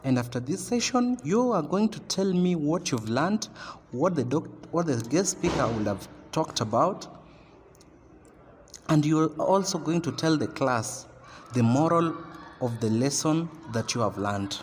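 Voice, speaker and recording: An actor with a Kenyan accent playing a stern class teacher who lectures and scolds in a halting, emphatic way in an amateur radio drama. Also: male voice, fairly high pitch, animated. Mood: scolding. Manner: admonishing, emphatic, halting delivery. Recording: noisy, very reverberant room.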